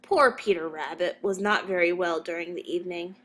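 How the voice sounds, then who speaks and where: A woman reading a children's story as a narrator, in a measured but expressive storytelling manner. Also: fairly low pitch. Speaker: storybook reader.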